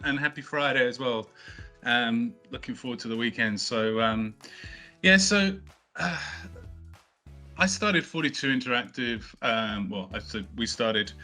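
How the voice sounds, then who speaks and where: A man's voice: mid-range voice, sharp tone. A middle-aged man, a company co-founder, answering interview questions casually, with many fillers and restarts. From not from Australia, studied in the UK. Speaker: company co-founder, podcast guest.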